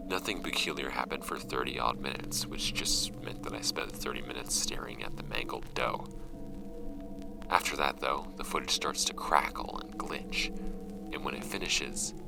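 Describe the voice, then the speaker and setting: An actor playing a shaken paranormal investigator recounting a grim field log in a horror audio drama, grave and deliberate, his gravelly voice often fading to breath. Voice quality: very low, gravelly, often breathy at phrase ends. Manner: slow-burning, grave, deliberate.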